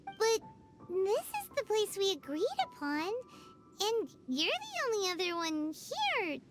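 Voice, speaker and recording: A woman voicing a tiny, excitable fairy girl companion in a video game, with a high, squeaky childlike voice who talks about herself in the third person. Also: takes it slowly, over music, full character voice. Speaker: companion character.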